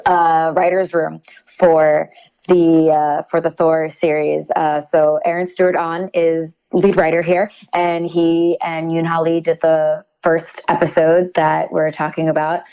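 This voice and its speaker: A woman speaking as an interview guest, enthusiastic and halting as she picks her words in casual promotional talk. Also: low-pitched, flat delivery, clear, resonant tone, lo-fi sound.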